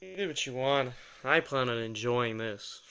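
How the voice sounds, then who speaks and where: A man's voice: mid-range voice, animated, unhurried, heavy background noise. An actor playing a brooding, reluctant male vampire who answers in dry, curt replies in an ASMR audio drama.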